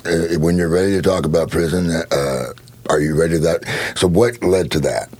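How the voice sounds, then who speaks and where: A man's voice: low-pitched, fairly even intonation, normal speed, a little mellow, slight background noise. A man in his fifties hosting a casual interview, asking blunt, frank questions. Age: 50s. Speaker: podcast host.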